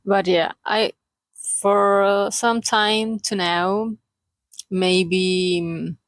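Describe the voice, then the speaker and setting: A woman's voice: medium pitch, steady tone, very slow. A woman from Chile with a Chilean Spanish accent speaks English as a second language in a casual, unscripted vlog, searching for words in a hesitant, self-deprecating way with little joking asides. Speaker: vlogger, aspiring cartoonist and illustrator. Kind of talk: casual unscripted confessional chat.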